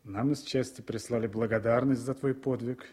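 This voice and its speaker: A man acting a frontline journalist in a radio war play. His voice is husky and mid-pitched, friendly and coaxing with his comrade. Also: fairly even intonation, moderate pace, warm tone, close to an everyday voice. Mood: warm teasing. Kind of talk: Soviet radio drama acting.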